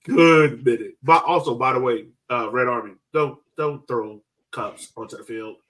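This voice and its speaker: A man co-hosting a soccer podcast, telling match stories casually in an excitable, animated way. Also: mid-range voice, moderate pace. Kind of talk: casual sports chat.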